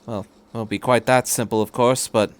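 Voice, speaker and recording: A man's voice: mid-range voice, fairly even intonation, normal speed. A man reading a story aloud as a podcast narrator, in scripted third-person prose.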